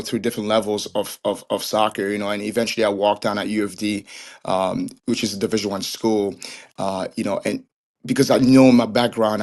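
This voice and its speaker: Earnest young man, lowish voice. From Cameroon. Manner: earnest, many restarts and repeated "and, and". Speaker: guest, soccer trainer and coach. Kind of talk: casual interview chat.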